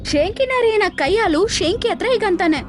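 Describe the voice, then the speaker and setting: High-pitched woman storyteller over music. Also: expressive, normal speed. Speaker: narrator. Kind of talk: scripted children's story narration.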